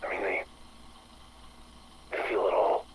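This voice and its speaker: An actor playing a distressed maintenance director confessing into a game audio log, halting, stammering and bitter. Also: male voice, natural voice. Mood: distressed, haunted. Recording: faintly noisy recorded audio log.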